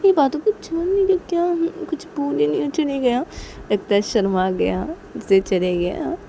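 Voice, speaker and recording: A woman's voice: high voice, normal speed, vocal fry, warm tone, slight background noise, voice pushed up. Woman doing a teasing young brother-in-law. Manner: teasing, prodding.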